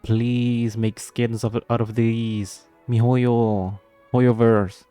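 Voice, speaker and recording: A man's voice: mid-range voice, flat delivery, extremely slow, mellow, no room sound. A man giving casual gameplay reaction commentary, gushing and easily awed. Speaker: gameplay video host. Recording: game music behind the voice. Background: game music.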